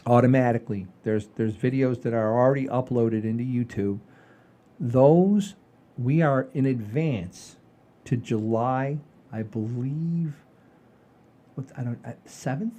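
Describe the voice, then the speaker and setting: A man hosting a comedy show in casual chat, halting and repeating phrases for emphasis. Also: medium pitch, dark tone.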